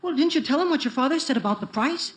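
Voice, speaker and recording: An actor playing a stubborn, whiny teenage schoolboy sidekick who complains indignantly, in old radio comedy style. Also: male voice, very high-pitched, animated, quick pace, fairly bright tone. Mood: exasperated. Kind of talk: 1940s radio comedy acting.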